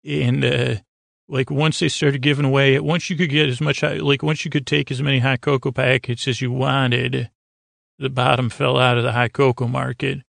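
Man, medium pitch, heavy vocal fry, soothing rambling bedtime monologue, stammering and meandering, dry close sound. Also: little pitch movement, normal speed, a bit bright, no room sound. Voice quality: creaky. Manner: hesitant, meandering with stammers and trailing tangents; soothing, lulling delivery. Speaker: podcast host.

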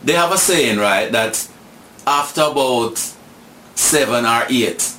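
A Jamaican man in his sixties speaks slowly and reflectively, often repeating words as he works out what he wants to say. His voice sits at an ordinary pitch. It is recorded in an echoey room with a faint ambient hum behind it. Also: animated, bright, forceful tone. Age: 60s. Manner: thoughtful, repeats words while searching. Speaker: guest. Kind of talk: reflective storytelling.

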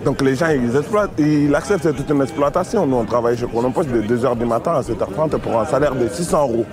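A man from Mali, an undocumented worker and strike delegate, giving a halting street interview with many pauses. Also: mid-range voice, a crowd in the background.

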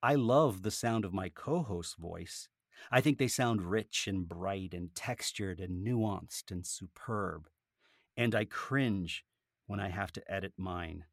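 A man speaking as a podcaster and author, telling things in an animated, conversational way. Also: low-pitched, slow pace, creaky, no echo, studio quality. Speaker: podcaster and author, interview guest. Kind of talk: casual conversational interview, with a read-aloud book passage.

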